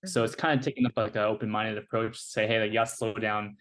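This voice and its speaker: Relaxed young American man, low voice. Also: fast. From United States. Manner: relaxed, filler-heavy speech with many "like" and "you know". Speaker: guest. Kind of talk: casual conversational interview.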